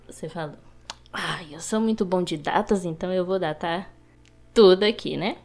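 Playful, low-voiced woman guest. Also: moderate pace, a little mellow. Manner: playfully accusing, laughs. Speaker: guest, host's girlfriend. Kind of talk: casual couple chat.

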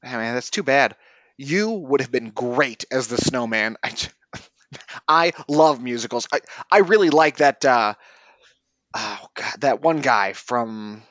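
A man's voice: medium pitch, lively intonation, takes it slowly, fairly bright tone, no room sound. A man playing a clueless, chatty male office coworker in a comedy sketch, rambling and tactless. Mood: eager.

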